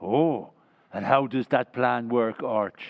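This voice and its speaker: A man acting a dim-witted, cheerful barfly who talks slowly and dopily with chuckles, in an old-time radio comedy recreation. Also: medium pitch, creaky, highs cut off. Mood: dopey. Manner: slow, dopey with chuckles.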